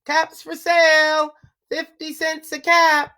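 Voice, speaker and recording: A woman's voice: high-pitched, little pitch movement, takes it slowly, pushed and bright, pitched above the performer's own voice, exaggerated voice. A woman voicing a male cap peddler who calls out his wares in a sing-song way. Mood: calling out. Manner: sing-song street calling.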